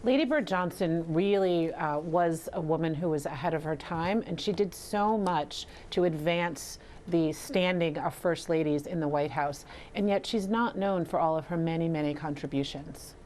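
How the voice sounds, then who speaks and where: A woman's voice: low-pitched, moderate pace, hardly any pauses, a little mellow, slight background noise. A woman filmmaker giving explanatory answers as a guest in an interview.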